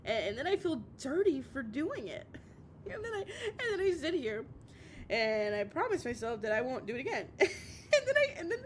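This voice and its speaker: A woman playing a drunk, heartbroken, obsessive young lover in an intimate audio roleplay, tearful and halting, stammering and repeating herself. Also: high-pitched, sing-song, slight background noise. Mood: hurt, tearful.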